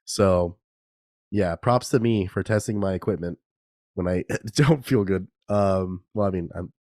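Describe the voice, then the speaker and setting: A man's voice: sits low, measured pace, darkish tone, dry recording, clean studio sound. A young man hosting a casual solo podcast, congested and nasal from a cold, rambling and laughing at himself. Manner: rambling, self-mocking, laughs often. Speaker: podcast host.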